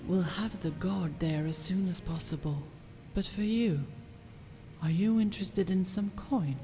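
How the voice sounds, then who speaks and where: A woman's voice: lowish voice, measured pace, natural voice. An actress playing a haughty, scheming fantasy noblewoman in a video game, with imperious, formal, disdainful diction. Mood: haughty, composed. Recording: music behind the voice.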